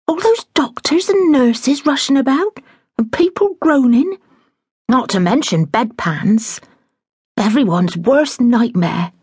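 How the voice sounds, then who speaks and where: A woman's voice: fairly high pitch, slightly rough, no echo, studio quality, pitched above the performer's own voice, full character voice. A woman voicing a flustered, bossy middle-aged housekeeper, blunt and dramatic in manner.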